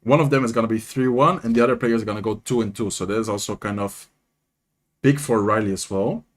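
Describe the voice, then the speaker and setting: A man's voice: fairly low pitch, quick pace. A young man with a Dutch accent, chatting casually on a live stream, reading and answering viewers while commenting on matches. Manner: chatty, reads and answers chat, animated. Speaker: streamer and content creator.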